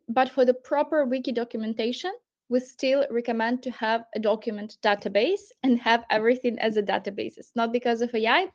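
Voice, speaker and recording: A woman from Poland, Polish her native tongue, talks through a product demo in a loose, conversational manner. Her voice sits somewhat high, and the audio is a little muffled and lo-fi. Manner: chatty, loose phrasing with many fillers. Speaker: product presenter. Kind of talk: casual product walkthrough.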